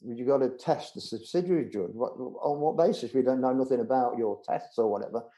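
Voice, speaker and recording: Man, middle-aged, warm mellow voice, chatty storyteller, fillers and restarts. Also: medium pitch, fairly quick. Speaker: budgerigar show judge, interview guest. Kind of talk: casual storytelling interview.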